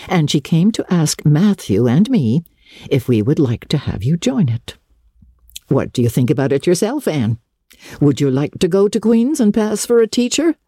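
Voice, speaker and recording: Woman playing a stern, low-voiced older guardian. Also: very animated, dark tone, husky, no echo, clean studio sound, exaggerated voice. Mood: practical, reassuring. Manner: brisk, matter-of-fact, no-nonsense. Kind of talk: dramatic audiobook reading of a classic novel.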